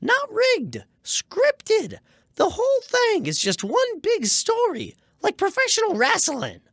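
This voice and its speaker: A man's voice: very high voice, huge pitch swings, slow, fairly bright tone, no echo, clean studio sound, heavily acted. A man voicing a chatty, cocksure rat con artist with a folksy, wheedling manner in a radio comedy. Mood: insistent, cocksure.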